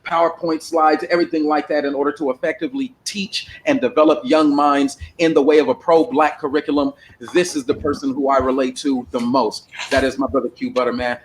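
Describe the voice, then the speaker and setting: A man's voice: a little high, level tone, fairly quick, few pauses, slight background noise, poor audio. A man hosting a casual livestream panel discussion, talking bluntly and with plenty of profanity over a desktop microphone. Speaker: panel host.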